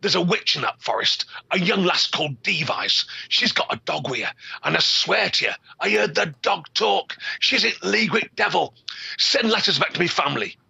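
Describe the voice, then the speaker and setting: A panicked old peddler man, as a storyteller puts him on, shouting and accusing in a broad dialect, his voice pushed high and bright. The sound is husky and slightly dull. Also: big pitch swings, moderate pace, dry recording, exaggerated voice. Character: cursed old male peddler, frantic and accusing.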